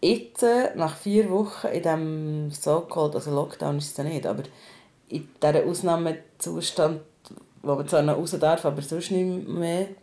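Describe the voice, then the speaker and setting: A woman's voice: sits low, moderate pace, mellow. A woman with a Swiss German accent talking candidly and casually, in colloquial words, as a podcast host. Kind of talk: casual personal conversation.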